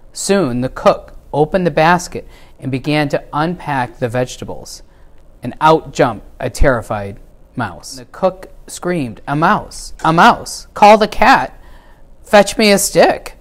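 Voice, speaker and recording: A middle-aged man reads a children's story aloud in a measured, expressive way. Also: a little high. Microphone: lapel mic.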